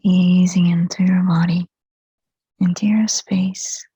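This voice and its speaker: A woman leading a guided meditation in a soft, breathy whisper, speaking gently and soothingly in short phrases with long pauses between them. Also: on the low side, flat delivery, no echo. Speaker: hypnotherapist guiding a meditation. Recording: dull, muffled lo-fi sound.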